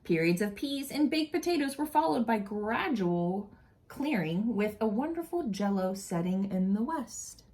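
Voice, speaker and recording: Woman reading a storybook, very animated pitch. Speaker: children's picture book reader. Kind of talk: expressive read-aloud storytelling with asides.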